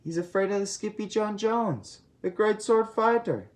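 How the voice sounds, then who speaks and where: A person reading a children's picture book aloud in an animated way, with expressive asides to young listeners. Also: medium pitch, moderate pace. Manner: expressive, asks listeners questions and comments in asides. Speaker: storybook reader.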